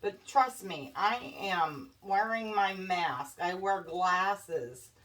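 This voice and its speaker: A woman's voice: medium pitch, extremely slow, a bit bright. A woman with a stuffy, congested nose chatting casually and anxiously to her viewers while making a wreath. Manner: chatty, worried, addresses viewers as guys. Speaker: craft vlogger. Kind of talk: casual chat while crafting. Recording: distant mic with faint background noise.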